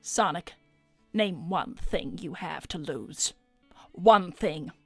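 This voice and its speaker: A woman's voice: lowish voice, expressive, takes it slowly, fairly bright tone, slightly rough, dry recording, faint music behind, heavily acted. A woman voicing a haughty, manipulative female cartoon character who speaks in a pointed, disdainful way. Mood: pressing, condescending. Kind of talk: improvised in-character livestream Q&A.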